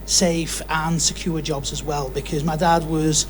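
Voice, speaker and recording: A man's voice: on the high side, little pitch movement, a little slow. A young man putting an earnest, unscripted question from the audience at a public Q&A. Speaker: audience questioner, political campaigner. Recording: faint hum and room noise.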